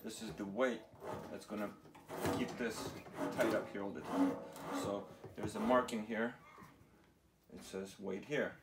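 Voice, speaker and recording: A man's voice: on the low side, fairly even intonation, a little slow, creaky, heavy background noise. A man in his thirties casually explaining each step of a home DIY setup in plain, matter-of-fact fragments, recorded in a garage workshop on a camera mic. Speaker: DIY how-to host.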